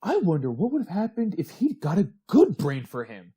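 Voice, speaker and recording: Excitable dreamer, husky, higher-pitched. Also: male voice, moderate pace, full character voice. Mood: excited wonder. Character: dreamy, excitable male workshop hand fascinated by mechanical men. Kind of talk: retro 1940s radio drama acting.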